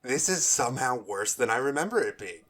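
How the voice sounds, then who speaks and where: A man's voice: mid-range voice, big pitch swings, brisk, fairly bright tone. A man co-hosting a casual fan podcast, talking emphatically and often repeating himself. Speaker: podcast co-host.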